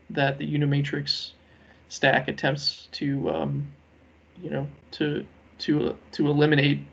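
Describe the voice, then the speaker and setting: A man giving a business presentation on a conference call as a working group lead, speaking in a measured, halting way with many ums. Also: fairly high pitch, creaky. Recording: dull, lo-fi conference call audio.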